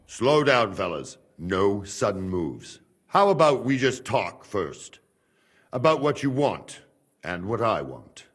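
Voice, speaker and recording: An actor playing a proud, stubborn male castle guard who talks in a measured, quietly threatening way in a video game cutscene. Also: on the low side, sing-song, husky, full character voice. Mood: calm.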